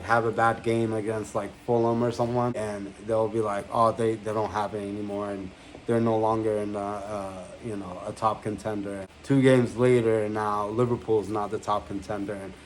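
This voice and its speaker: Host, man, 30s, born in Egypt; low voice, loose rambling delivery, faint room hiss. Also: rough recording. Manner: conversational, rambling with fillers and self-corrections. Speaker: channel founder and host. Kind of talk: casual vlog monologue to camera. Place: indoor room with a banner behind.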